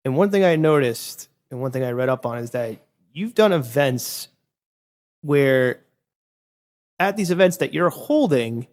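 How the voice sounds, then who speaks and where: A man hosts a conversational interview at an ordinary mid pitch. He winds through long questions and corrects himself along the way. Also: expressive, unhurried, long pauses, a little mellow. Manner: rambling, self-correcting questions. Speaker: podcast host.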